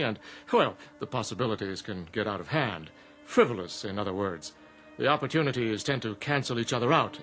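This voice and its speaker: A man's voice: mid-range voice, fairly bright tone. A man acting in a radio drama as an urbane, polite genie who talks in formal, precise sales patter. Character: urbane genie in a business suit, polite and matter-of-fact.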